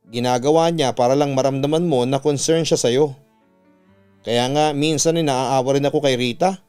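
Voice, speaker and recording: A man's voice: fairly high pitch, a little mellow, clear, resonant tone, natural voice. A man voicing a sassy, caring young male best friend in a radio story, with a chummy, gossipy manner.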